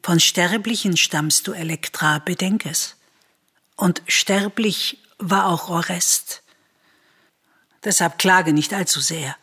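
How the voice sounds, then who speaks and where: Casting: consoling chorus woman, motherly and solemn, very slow, heavily breathy voice, radio tragedy. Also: fairly low pitch, pushed and bright, full character voice. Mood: solemn consolation. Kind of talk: solemn radio drama acting of verse tragedy. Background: music.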